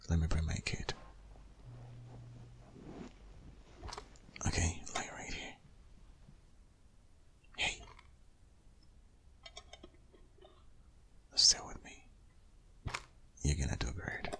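Devoted boyfriend for ASMR roleplay, played by a man: extremely low, gravelly, half-whispered, anxious yet reassuring. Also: heavily acted. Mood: pleading, determined. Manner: hushed, urgent, coaxing, with sighs. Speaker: audio roleplay voice actor.

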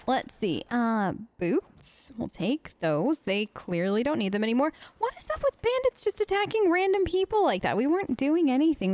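A woman hosting a role-play let's play, chatting casually in character and musing aloud as she goes. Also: sits high. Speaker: let's play host, role-playing her game character. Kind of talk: casual in-character game commentary.

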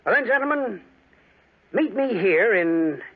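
An actor playing a sly, scheming sheriff with a knowing, deliberate manner in 1940s radio drama. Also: male voice, high voice, lively intonation, breathy edge, exaggerated voice. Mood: confident. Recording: tinny, worn 1940s radio recording.

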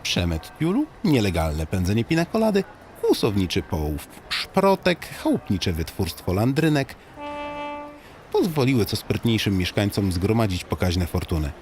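A man's voice: fairly low pitch, sing-song, normal speed, a little mellow, a little hiss or hum. A man narrating a comic audiobook as a guide addressing the listener, in a tongue-in-cheek, mock-solemn storytelling manner.

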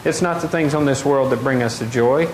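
A middle-aged man preaching a lively sermon, often asking the congregation questions. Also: medium pitch, a little fast. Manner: animated, gestures widely, asks the congregation questions. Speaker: pastor. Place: large wooden open-air church hall. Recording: echoey hall, faint noise.

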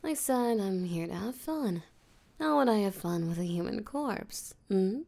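Slow, creaky, seductive vampire voiced by a woman. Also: medium pitch, animated, a little mellow. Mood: possessive, amused. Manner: breathy, teasing, flirtatious, slow and deliberate. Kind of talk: intimate roleplay audio drama, spoken to the listener.

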